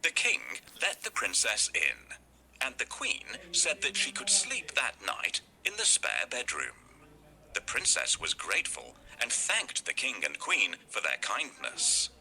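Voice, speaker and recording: A man narrating a scripted children's story with deliberate, dramatic phrasing and pauses for emphasis. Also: medium pitch, huge pitch swings, creaky, bright, forceful tone, low-quality recording.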